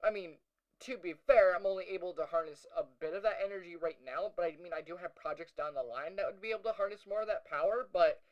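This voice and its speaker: A man's voice: sits high, dull audio. A man playing an uneasy, wry young hero who narrates a fiction audio log in a casual, hesitant, conversational way. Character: young male hero recounting a meeting with Lucifer, wry, uneasy.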